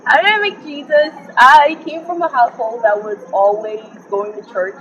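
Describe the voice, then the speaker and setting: A young woman from the Bahamas, sharing her personal faith story in an interview, earnest and reflective with frequent pauses. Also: sits high, steady tone, a bit bright, highs cut off, slight background noise. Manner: earnest and reflective, often pausing with ums. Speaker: interview guest. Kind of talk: personal testimony in conversation.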